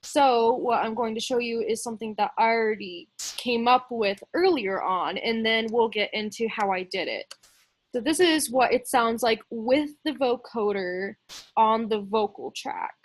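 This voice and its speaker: A woman with a slightly high-pitched voice leads a music production tutorial. She explains each step casually and informally, thinking out loud as she demonstrates on screen. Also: a little slow.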